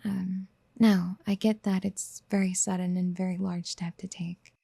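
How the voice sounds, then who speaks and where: Actress for a clingy, doting yandere mommy in ASMR audio: slow, hushed and breathy, close and dry, gently coaxing. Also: female voice, lowish voice, little pitch movement, no echo, full character voice. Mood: gentle, hesitant. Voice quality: soft, breathy, often near a whisper. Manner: tender, coaxing, hesitant pet-name murmuring. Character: doting, obsessive yandere woman wanting to mother the listener. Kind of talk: soft-spoken ASMR roleplay. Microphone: close microphone in a dry, quiet space.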